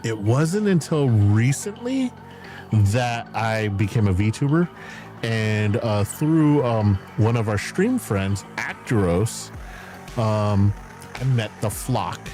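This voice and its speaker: A man streaming as a VTuber, chatting casually and candidly, swearing freely and filling pauses with "like" and "um". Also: lowish voice, lively intonation, a little mellow. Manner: rambling, candid, swears casually, frequent fillers and laughs. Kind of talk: casual stream chat answering viewer questions. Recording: music playing behind.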